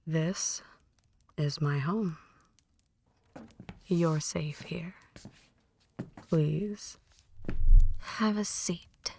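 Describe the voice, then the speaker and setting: A woman playing a possessive, menacing vampire mistress in an intimate roleplay, teasing and manipulative, often slipping into breathy whispers. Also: low-pitched, extremely slow, pauses often, noisy background, full character voice. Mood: possessive, coaxing. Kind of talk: intimate ASMR roleplay monologue.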